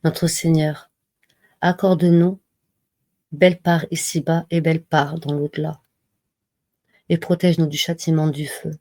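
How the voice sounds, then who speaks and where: Mellow, low-voiced woman reciting slowly. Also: flat delivery, no echo. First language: not Arabic. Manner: slow, measured recitation with pauses. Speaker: religious reminder speaker. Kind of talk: slow devotional reading and reminder.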